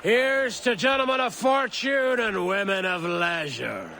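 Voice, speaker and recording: An actor playing a bold, stubborn adventurer in a video game, emphatic and impassioned. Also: male voice, sits high, measured pace, bright, forceful tone, a little hiss or hum, heavily acted. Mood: hearty.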